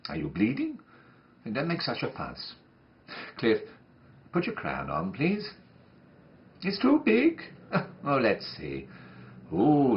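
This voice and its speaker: A man performing a comic monologue as a prim, patient, brightly flustered woman nursery teacher, coaxing and gently scolding small children. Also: on the low side, lo-fi sound.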